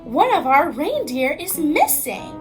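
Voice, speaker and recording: A woman's voice: sits high, sing-song, slow, faint music behind, full character voice. A woman voicing a little old male elf from a storybook, a dutiful, anxious helper who speaks hesitantly and deferentially. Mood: worried.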